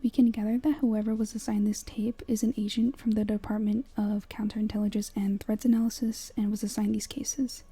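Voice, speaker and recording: Whispering woman narrator, soft and very dark. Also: mid-range voice, little pitch movement, breathy edge, soft background music. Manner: hushed, measured storytelling. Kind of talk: whispered ASMR-like horror video breakdown, scripted narration.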